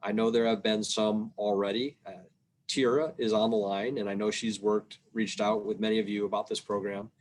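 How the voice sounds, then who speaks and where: A man giving an informal, explanatory presentation on a video call, plain-spoken and somewhat halting. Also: fairly low pitch, flat delivery, slight background noise. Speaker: state revenue agency policy presenter. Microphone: video call microphone.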